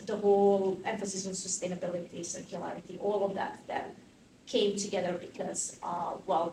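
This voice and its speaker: A woman from Croatia in her thirties, a fashion activist answering interview questions in an animated, thinking-aloud way with many fillers. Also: mid-range voice. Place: conference venue. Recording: distant mic in an echoey room with faint noise.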